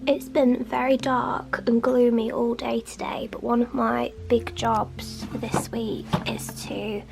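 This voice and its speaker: A young woman with a sniffly head cold chats in a soft, half-whispered ramble as she tidies her small home art studio. Her voice sits a little high and has a gravelly edge. Music plays clearly behind her. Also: level tone, takes it slowly. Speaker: artist vlogger. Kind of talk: casual studio vlog chat.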